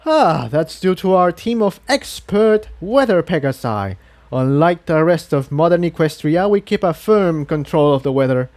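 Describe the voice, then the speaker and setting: A man's voice: a little high, big pitch swings, moderate pace, darkish tone, pitched above the performer's own voice. A man voicing a devout, courteous pegasus townsman who explains things earnestly and formally in a fantasy audiobook. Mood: earnest, proud. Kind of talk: acted dialogue in fan fiction audiobook.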